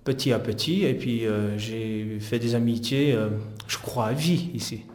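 A man's voice: mid-range voice, a little slow, a little mellow. Hesitant middle-aged man, roomy sound. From Netherlands. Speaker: interviewed physiotherapist. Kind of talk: conversational interview answers recounting personal history.

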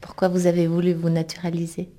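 A woman hosting an interview segment, asking brief, clear questions. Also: on the low side, completely flat delivery, fast talker, dark tone. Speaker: interviewer and host.

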